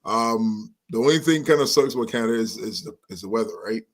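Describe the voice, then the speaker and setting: A man from Trinidad and Tobago, a guest musician talking in a friendly, relaxed way about his start in music. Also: fairly low pitch, fast. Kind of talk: casual interview talk.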